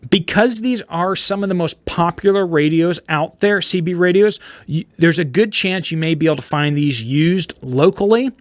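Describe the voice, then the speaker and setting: A man's voice: sits high, moderate pace, no echo. A man hosting a casual product review, explaining gear to viewers in plain, informal layman's terms. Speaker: host of a prepper radio review channel.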